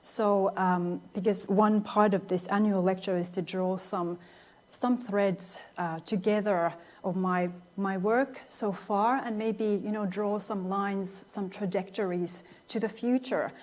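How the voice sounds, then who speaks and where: A woman's voice: mid-range voice, steady tone, a little slow, a little mellow. Finnish woman giving an academic lecture. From Finland. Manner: thoughtful, many restarts and fillers. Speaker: guest lecturer.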